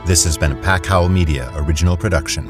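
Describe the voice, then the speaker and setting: A male narrator who reads the story and the credits in a steady, measured scripted delivery. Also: deep voice. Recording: music bed behind.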